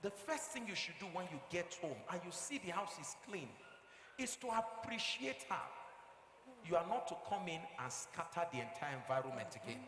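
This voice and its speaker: A man's voice: high-pitched, fairly bright tone, exaggerated voice. A man with a Nigerian accent acting on stage as a firm, fatherly marriage counsellor, lecturing emphatically with repetition and rhetorical questions. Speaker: actor in a stage play. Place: theatre stage with live audience. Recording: echoey hall, noisy live stage recording.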